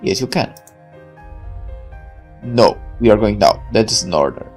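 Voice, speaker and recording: A man voicing a doting but commanding middle-aged military father in a visual novel, measured and firm. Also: fairly low pitch, animated, a little mellow, slightly rough, soft background music. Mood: urgent, commanding.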